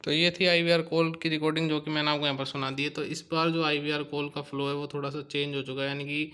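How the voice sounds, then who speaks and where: A man's voice: on the high side, little pitch movement, quick pace, sharp tone, smooth. A man giving an explanatory tutorial as a video host, in a repetitive, instructional manner. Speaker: YouTube host.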